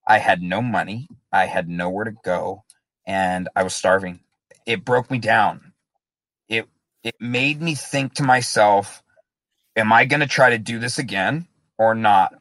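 A man's voice: fairly low pitch, unhurried, a bit bright, no echo. A man as an interview guest, telling his own story in a candid, reflective, plain-spoken way. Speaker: guest in recovery.

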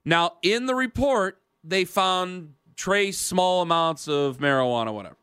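A man's voice: sits high, lively intonation, measured pace, a bit bright, smooth, no room sound. A man in his thirties hosting a radio sports talk show, rambling in casual banter with self-mocking asides. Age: 30s. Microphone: studio mic.

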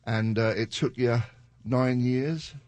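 A man hosting a casual radio interview, joking and putting in quick remarks. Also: mid-range voice, darkish tone, no echo. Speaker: radio host.

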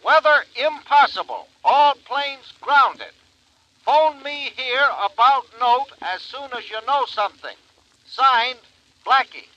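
A man's voice: extremely high pitch, lively intonation, slow pace, sharp tone, dry recording, full character voice. An actor playing an obliging man who passes on messages, in 1940s radio drama style. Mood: deliberate. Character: helpful man reading out a telegram. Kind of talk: 1940s American radio acting. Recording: muffled vintage radio recording.

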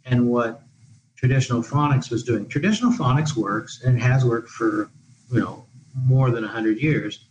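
A man's voice: mid-range voice, moderate pace, a little mellow, highs cut off, slight background noise. A man who is a guest expert on an education podcast, talking in long, discursive answers with many restarts. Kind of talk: podcast interview. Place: living in Portugal.

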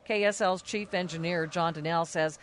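An American woman reading scripted radio news narration in a formal, measured manner. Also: fairly low pitch, steady tone, a little hiss or hum. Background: faint music under narration.

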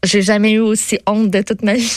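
Woman, Québécois accent, medium pitch, colloquial radio chat, self-deprecating storyteller, dry close studio sound. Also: level tone, moderate pace, a little mellow, dry recording. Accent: Québécois French. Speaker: radio co-host.

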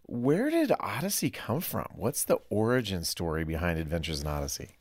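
A man with a deep voice hosts a relaxed panel conversation. His intonation moves around a lot as he questions and sums up for guests. The sound is close and dry. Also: a little fast, no echo. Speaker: host asking guests questions. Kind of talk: casual panel discussion.

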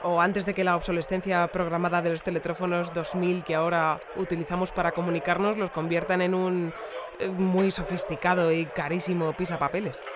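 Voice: medium pitch, flat delivery, a little fast, crowd noise, close to an everyday voice. An actor playing a wordy, tongue-in-cheek news reporter covering a robot trial in a sci-fi audio comedy. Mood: dryly sarcastic.

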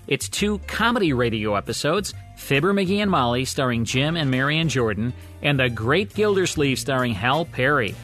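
A man's voice: a little high, a bit bright. American man hosting, music behind. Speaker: radio show host. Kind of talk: scripted narration introducing vintage radio shows.

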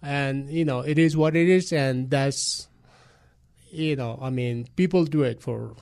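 A man's voice: mid-range voice, unhurried, creaky, a little mellow. A man from Nepal with a Nepali accent, answering interview questions hesitantly, with many fillers and simple phrasing. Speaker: guest.

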